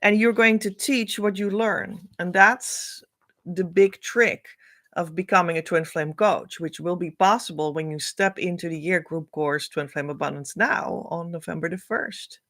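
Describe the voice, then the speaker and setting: A middle-aged woman whose first language is Dutch, giving an oracle card reading as a spiritual coach, speaking to camera in a slow, musing way. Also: on the low side, fairly even intonation. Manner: slow, musing, drifts between soft and louder emphasis.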